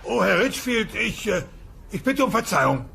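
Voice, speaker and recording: A blustering, cowardly dinosaur dad for a comic radio play. The voice is high and swoops around, with a creaky edge. He is pompous one way and grovelling the other, always gullible. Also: big pitch swings, normal speed, sharp tone, slight background noise, heavily acted. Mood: grovelling, nervous. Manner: pompous with family, grovelling to his boss. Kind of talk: comedic German audio drama acting.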